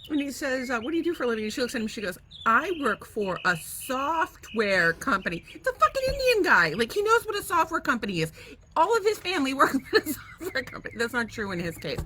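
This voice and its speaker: Woman podcast co-host, bright and animated, rambling comic stories with faint room noise behind. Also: high voice, fast. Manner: animated storyteller, laughs often, swears freely. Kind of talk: casual chat.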